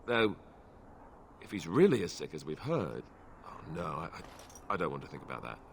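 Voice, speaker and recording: A man's voice: extremely low pitch, very animated, moderate pace, creaky, a little hiss or hum, heavily acted. An actor voicing a self-deprecating young male warrior in a fantasy video game, talking in a wry, hesitant way. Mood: awkward, teasing.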